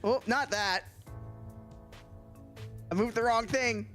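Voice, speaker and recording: A man's voice: very high-pitched, slow pace, pushed and bright. A man chatting casually on a gaming livestream and reading out game text, with an easygoing, jokey, slangy manner. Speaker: co-streamer and guest voice actor. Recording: music playing behind the voice.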